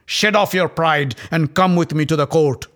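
A man's voice: a little high, moderate pace, a bit bright, pitched above the performer's own voice, natural voice. A man voicing a cruel, arrogant young prince who roars and jeers in a storybook reading. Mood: roaring. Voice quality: roaring, mocking, laughing.

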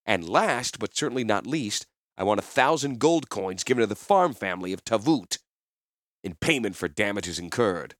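A man's voice: fairly low pitch, lively intonation, normal speed, fairly bright tone, no room sound, clean studio sound. A man voicing a swaggering, defiant warrior hero who speaks in a commanding way in a fantasy audiobook. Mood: firm bargaining. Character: boastful, defiant legendary male warrior hero.

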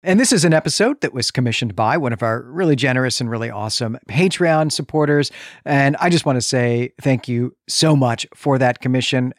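A man's voice: mid-range voice, a little fast, no echo, studio quality. A man co-hosting a podcast in an earnest, emphatic, conversational style. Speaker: podcast co-host. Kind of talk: conversational film discussion and plot synopsis.

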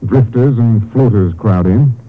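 An actor playing a patient but skeptical Texas Ranger captain in a 1950s radio drama, calm, terse and authoritative, with an American accent. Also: male voice, medium pitch, unhurried, breathy edge. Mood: firm, patient. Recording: tinny, narrow-band old radio recording.

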